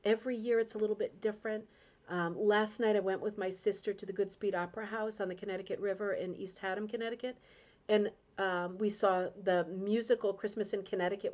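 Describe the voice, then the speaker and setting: A woman's voice: mid-range voice, steady tone, fairly quick. A middle-aged woman from Rhode Island, hosting a crafting club livestream in casual, emotional chat and reading out viewer comments, with frequent tag questions. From Rhode Island, United States.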